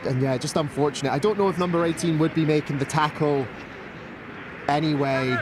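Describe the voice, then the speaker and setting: A Scottish man with a fairly high voice talks through the plays in a relaxed, analytical way. He pokes fun at himself now and then. Traffic and outdoor noise are clearly audible behind him. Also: fairly quick. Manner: relaxed analytical chat, self-deprecating. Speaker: colour commentator. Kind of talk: live sports commentary.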